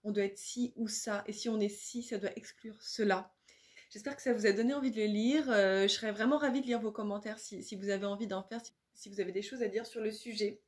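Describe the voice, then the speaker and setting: A woman hosting a video, speaking in a reflective, earnest and explanatory way with measured phrasing. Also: mid-range voice, fairly even intonation, a little mellow. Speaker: book-review video host. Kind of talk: reflective book recommendation to camera, with some read-aloud.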